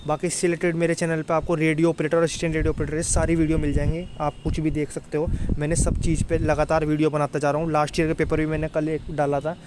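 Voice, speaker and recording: A man's voice: fairly high pitch, completely flat delivery, fast talker, few pauses, noisy background. A young man informally explaining to students as an exam tutor, colloquial and often checking that they follow. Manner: colloquial, repeatedly addresses listeners as brother, checks understanding.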